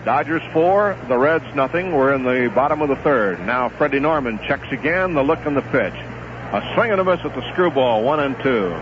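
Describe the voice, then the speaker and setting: A middle-aged man giving live play-by-play commentary on a baseball game for radio, steady and relaxed, rising in excitement on big plays. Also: medium pitch, normal speed. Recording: muffled vintage 1970s radio broadcast with hiss.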